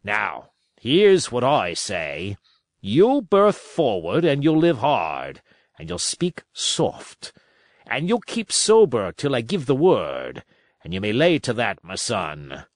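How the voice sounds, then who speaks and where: A man's voice: medium pitch, huge pitch swings, slow pace, no echo, clean studio sound, exaggerated voice. A man reading a storybook, voicing a shrewd, domineering pirate sea cook with salty, emphatic sailor talk. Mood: commanding.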